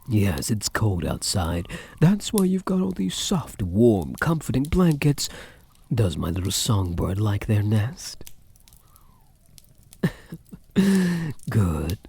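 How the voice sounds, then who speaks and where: Man acting a soft, breathy, low-voiced vampire count. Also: full character voice. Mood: tender, coaxing. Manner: intimate murmur, often dropping into whispers. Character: possessive, tender male vampire count doting on captive. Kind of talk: soft-spoken ASMR roleplay.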